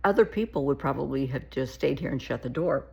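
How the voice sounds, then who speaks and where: A woman therapist giving casual, earnest advice to camera, her voice gravelly and shaky from an oncoming cold, often pleading and repeating herself. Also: extremely low pitch, lively intonation, brisk, warm tone. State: getting a cold.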